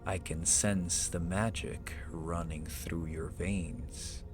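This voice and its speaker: An ancient, proud dragon acted by a man speaks in a deep voice at an extremely slow, measured pace. The phrasing is archaic, formal and imperious, delivered as a theatrical ASMR roleplay monologue with music clearly playing behind it. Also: fairly even intonation. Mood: calm, knowing. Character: ancient, proud male dragon summoned as a familiar.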